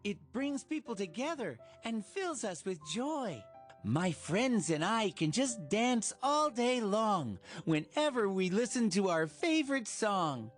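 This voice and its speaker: A woman's voice: medium pitch, sing-song, slow pace, faint music behind. A woman voicing a cheerful cartoon child who teaches preschoolers in sing-song rhyme. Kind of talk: scripted rhyming children's game narration.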